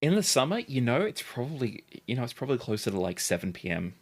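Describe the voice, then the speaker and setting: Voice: medium pitch, sing-song, fast, darkish tone, breathy edge. A game master chatting casually and narrating a tabletop game.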